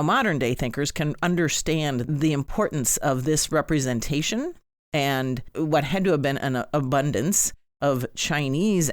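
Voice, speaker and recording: A man's voice: a little high, dry recording, clean studio sound. A man hosting a podcast, giving conversational commentary with wry, informal asides. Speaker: podcast host.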